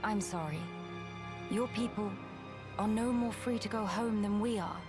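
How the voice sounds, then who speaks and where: A woman's voice: medium pitch, natural voice. Composed young noblewoman, formal and courteous woman acting. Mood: solemn. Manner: formal, measured, polite. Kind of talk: video game cutscene acting. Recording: game music behind the voice.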